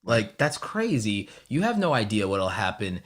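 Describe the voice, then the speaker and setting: A man's voice: on the low side, very animated, moderate pace. A man in his thirties hosting a live stream in casual chat, earnestly mentoring his guest and repeating points for emphasis. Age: 30s. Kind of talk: casual chat, giving advice. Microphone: video call.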